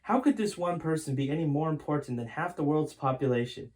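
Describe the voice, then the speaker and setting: Man voicing a blunt, serious son, raised pitch. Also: expressive, a little fast, a little mellow, natural voice. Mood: indignant.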